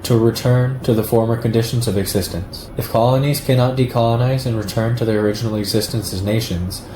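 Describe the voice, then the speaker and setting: A man reading a political essay aloud in a measured, deliberate way. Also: medium pitch, fairly even intonation. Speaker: reader. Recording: roomy echo, clear background noise.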